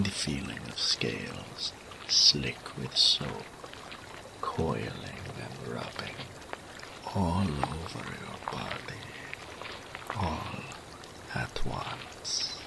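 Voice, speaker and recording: A man playing a seductive, possessive and doting male naga in an intimate romantic audio roleplay, speaking slowly in soft murmurs that drop into whispers. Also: very deep voice, vocal fry, noisy background, full character voice. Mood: seductive, pleased. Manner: slow, third-person speech, soft murmurs dropping to whispers.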